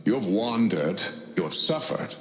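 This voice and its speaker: An actor playing a grandiose, soothing cult leader who speaks in a slow, theatrical preaching manner in a video game. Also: male voice, on the low side, lively intonation, a bit bright, roomy sound. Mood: grandiose, reassuring. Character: smooth, grandiose male cult leader addressing followers as hologram.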